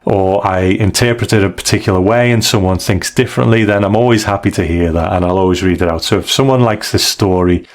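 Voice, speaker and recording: A man's voice: deep voice, fast talker, few pauses. A man hosting a podcast, talking through stories in a casual, slightly hesitant conversational way. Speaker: podcast host. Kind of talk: casual comic review discussion.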